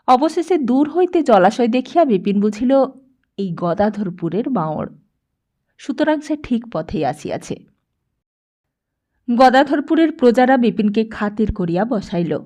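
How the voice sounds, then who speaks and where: A woman's voice: medium pitch, a little mellow. A woman reading a literary story as scripted audiobook narration.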